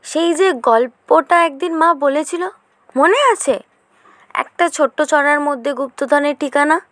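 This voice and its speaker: A curious, imaginative big sister played by a woman in a suspense audio play. Her voice is high and girlish, excitable and chatty. The sound is close and dry. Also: unhurried, no room sound. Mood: excited. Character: curious, imaginative older sister, a young girl. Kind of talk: Bengali audio drama acting.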